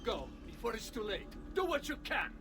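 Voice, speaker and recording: An actor playing an alarmed, loyal supporter of a Florentine lord in a video game, urgent. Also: male voice, high-pitched, wildly swooping pitch, moderate pace, full character voice. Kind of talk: dramatic video game acting. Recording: long echo, noisy ambience.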